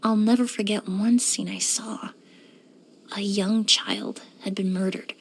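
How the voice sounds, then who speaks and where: A woman playing a courteous, composed young woman in a video game, speaking politely and earnestly with careful, measured wording. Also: medium pitch, steady tone, vocal fry, slightly rough. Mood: somber, subdued. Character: poised, courteous young woman perfumer and forensic cleaner. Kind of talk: scripted video game character voice lines.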